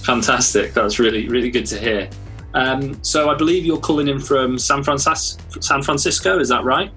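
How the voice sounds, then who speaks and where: A man's voice: medium pitch, normal speed, pushed and bright, faint music behind, rough recording. A man hosting a podcast, upbeat and given to repeating his words as he interviews a guest. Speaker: podcast host.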